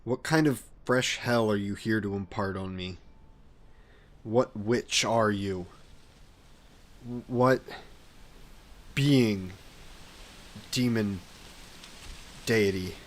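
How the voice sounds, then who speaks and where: A man voicing a grieving vampire king who talks slowly and with remorse about his past. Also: fairly low pitch. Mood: agitated. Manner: slow, brooding, remorseful. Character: grieving regretful vampire king, former ruler. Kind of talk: audio roleplay narration.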